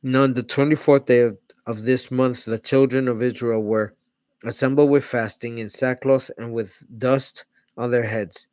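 A middle-aged man reading scripture aloud in a small home studio on a desk microphone, steady, and correcting himself on hard names. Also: medium pitch, normal speed, no room sound. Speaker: Bible reader. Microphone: large studio mic on a desk arm.

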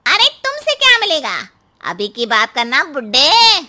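A performer voicing a cheeky, mocking pet parrot in a cartoon, with a very high, squeaky voice and taunting singsong delivery. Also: wildly swooping pitch, a little slow, sharp tone, full character voice. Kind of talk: animated cartoon dialogue.

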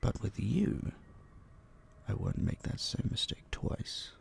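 A man playing an obsessive, possessive vampire captor in an intimate audio drama, hushed, teasing and dominant, menacingly sweet, dipping into whispers. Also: on the low side, sing-song, slow, vocal fry, a little hiss or hum, heavily acted. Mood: teasing menace. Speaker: voice actor. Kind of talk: intimate roleplay audio drama, addressing the listener.

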